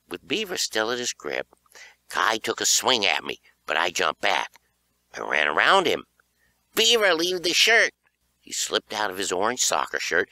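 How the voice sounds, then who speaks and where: A man narrating a children's horror audiobook in an expressive storytelling style. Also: sits low, gravelly, no echo.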